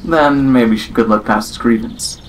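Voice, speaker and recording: A man's voice: mid-range voice, a little slow, a little hiss or hum, low-quality recording. A man reading scripted story narration for an amateur audio drama.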